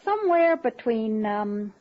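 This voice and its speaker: An actress playing a dim, deadpan, folksy female campaign secretary in a radio comedy. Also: on the high side, unhurried. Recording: dull lo-fi old radio recording.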